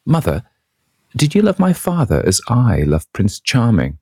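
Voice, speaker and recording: Guy putting on a girlish lovestruck young woman, voice pushed way up high from his deep tone, dry close sound. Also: wildly swooping pitch, a little slow, a little mellow, slightly rough, no room sound, exaggerated voice. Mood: rapturous.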